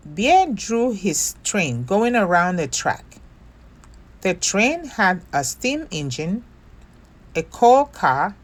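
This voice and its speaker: A woman hosting storytime, narrating a children's picture book with expressive, deliberate phrasing for young listeners. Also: medium pitch. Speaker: storytime host and reader.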